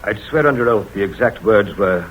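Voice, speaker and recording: An actor playing a precise male private secretary in 1940s radio acting, husky and measured, on a dull old radio recording. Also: lowish voice, animated.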